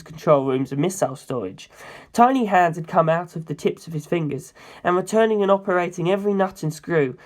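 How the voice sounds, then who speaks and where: A story reader with a medium-pitched voice narrates first-person fiction. The delivery is scripted narration, read aloud as the main character's own account.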